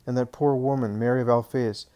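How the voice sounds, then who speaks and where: A man's voice: medium pitch, steady tone, fairly quick, mellow, close to an everyday voice. A man voicing a worried townsman who confides town gossip in an earnest, sympathetic way.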